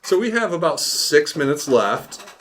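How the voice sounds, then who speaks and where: A man co-hosting a casual film podcast, riffing and exclaiming excitably among friends. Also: on the high side, unhurried, slight background noise. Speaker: podcast co-host.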